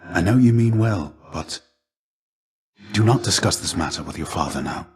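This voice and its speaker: A man playing a gentle, melancholy ancient Greek warrior shade and mentor in a video game, speaking in a measured, formal, soft-spoken way. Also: deep voice, expressive. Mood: solemn, confiding.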